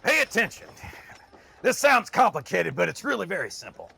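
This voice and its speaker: A man playing a flustered, excitable soul tracker underling in an audio drama, nervous and exclaiming, prone to stammer. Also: high voice, moderate pace, creaky, a little hiss or hum, full character voice. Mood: impatient. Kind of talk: scripted audio drama acting.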